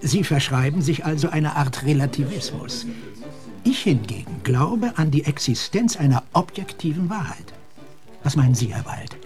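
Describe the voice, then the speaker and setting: An actor playing a formal, upright military gentleman in a radio play, polite and moralizing. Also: fairly high pitch, lively intonation, normal speed, natural voice. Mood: courteous, principled. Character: formal, upright military officer with strong principles. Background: faint music.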